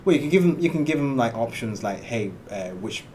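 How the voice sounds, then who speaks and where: A man's voice: medium pitch, animated, a little fast, dark tone, a little hiss or hum. A man, co-hosting a live stream, tossing out ideas in a loose way padded with many fillers. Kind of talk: casual live-stream chat.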